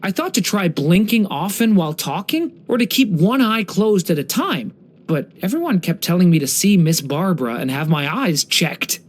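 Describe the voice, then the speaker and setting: A man voicing a young dragon boy in human form for a video game, speaking gently, politely and earnestly, like someone telling a story. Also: high voice, sing-song, normal speed. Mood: gentle, earnest. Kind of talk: English video game character voice lines.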